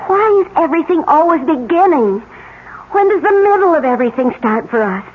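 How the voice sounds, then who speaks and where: A woman's voice: high-pitched, big pitch swings, a little fast. An American woman acting a weary, fretful housewife and mother in her forties in a 1970s radio drama, plaintive and hesitant. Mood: distraught. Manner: plaintive, self-pitying, hesitant. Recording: muffled, noisy 1970s radio recording.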